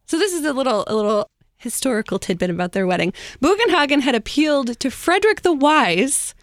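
Woman telling a story, higher-pitched, close dry sound. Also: very animated, a little fast, no echo. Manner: casual, slangy, chatty asides. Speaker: host telling a history story. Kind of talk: informal storytelling among co-hosts.

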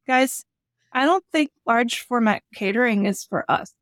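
Very high-pitched woman podcaster. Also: unhurried, fairly bright tone, no echo, studio quality. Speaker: podcast co-host. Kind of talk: casual chat and playful skit reading.

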